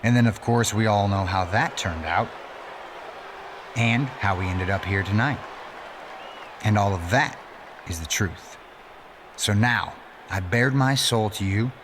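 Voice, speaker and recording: An actor playing a menacing, self-righteous messianic wrestling villain in a video game, delivering a deliberate, pompous monologue that persuades and threatens. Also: male voice, fairly low pitch, fairly bright tone, a little hiss or hum. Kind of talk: dramatic scripted monologue.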